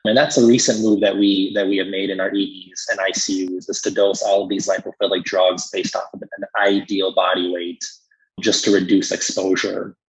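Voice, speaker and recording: A man explaining medical topics conversationally as an expert, in a measured way with frequent "you know" asides. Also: low voice, little pitch movement, husky, low-quality recording.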